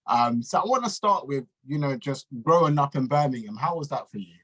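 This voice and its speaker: A man's voice: medium pitch, animated, a little fast, fairly bright tone, rough recording. A man hosting a casual interview, talking in an informal, slangy, encouraging way. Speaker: interviewer and show host. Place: remote video call.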